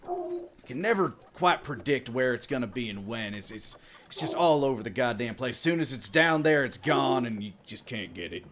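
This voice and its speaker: A man's voice: mid-range voice, big pitch swings, moderate pace, a bit bright, a little hiss or hum. A man voicing a folksy orc tribesman who herds rhinos, grumbling but hospitable, with rustic turns of phrase, in a tabletop game. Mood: exasperated.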